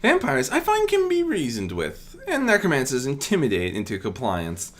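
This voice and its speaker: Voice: medium pitch, wildly swooping pitch, normal speed, pitched above the performer's own voice. A performer voicing a gracious, formal princess of the sun, a magical warrior mare, in a storybook reading. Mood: assured. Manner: polite, formal, articulate.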